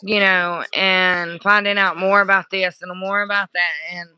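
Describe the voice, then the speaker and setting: A woman livestreaming casual chat to her viewers, hoarse from screaming, rambling and apologetic. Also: medium pitch, little pitch movement, a little slow, sharp tone, poor audio.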